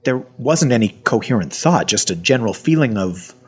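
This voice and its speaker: A man narrating a first-person story in a conversational, wry way, with dramatic pauses. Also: mid-range voice, expressive, slightly rough. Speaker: narrator of an audio fiction story.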